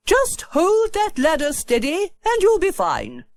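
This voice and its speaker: A woman voicing a brisk, confident, no-nonsense country beekeeper in a children's storybook. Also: extremely high pitch, huge pitch swings, no room sound, exaggerated voice. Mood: brisk, unworried.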